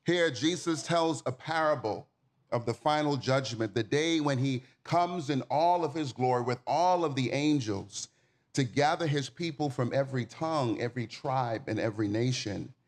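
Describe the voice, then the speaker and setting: A man's voice: mid-range voice, normal speed. A man in his forties from the Bahamas, giving a sermon as a guest preacher in an informal, folksy way, speaking directly to the congregation. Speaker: guest preacher and nonprofit community manager.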